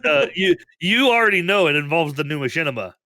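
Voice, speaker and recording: Podcast co-host, male, under the weather, bright edge, giggly, trips over restarts, dry close mic. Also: on the high side, lively intonation, quick pace, dry recording. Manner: laughs often, restarts sentences. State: sick. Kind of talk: casual podcast chat.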